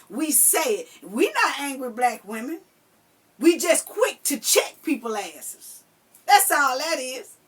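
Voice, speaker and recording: A woman with a high voice talks slowly and deliberately through a commentary monologue. She is blunt and profane, hammers the same phrases again and again, and sometimes raises her voice to drive a point home. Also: fairly bright tone. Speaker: video commentator replying to another video.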